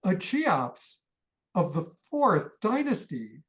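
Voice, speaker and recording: A proud, brusque man who collects antiquities, in a radio comedy. The voice is very low and animated, with clipped, boastful phrases. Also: takes it slowly. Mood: proudly insistent. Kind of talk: radio play acting.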